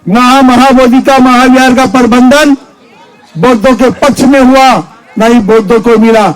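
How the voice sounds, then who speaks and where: A man, a Buddhist monk, delivers an impassioned political rally speech from a stage, declaiming forcefully and driving points home with repeated rhetorical questions. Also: extremely high pitch, fairly even intonation, moderate pace, smooth, low-quality recording. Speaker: Buddhist monk and activist speaker.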